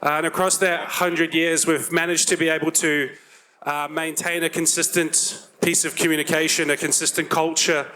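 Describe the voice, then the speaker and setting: Bright, higher-pitched male event presenter. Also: little pitch movement, moderate pace. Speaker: event presenter introducing a panel. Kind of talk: live event introduction.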